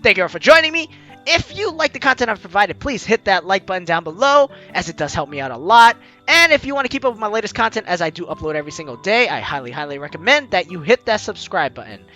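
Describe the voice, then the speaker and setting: Lively high-voiced man reading a game. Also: fast, soft background music. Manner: lively, laughs, breaks off to comment. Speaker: let's play host reading a visual novel. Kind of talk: playthrough reading with commentary.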